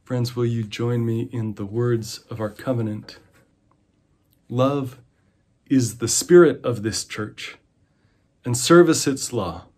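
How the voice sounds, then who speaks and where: A man leads a liturgical recitation. His voice is middle-pitched and husky, and he moves slowly through the words. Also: a little mellow. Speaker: covenant leader.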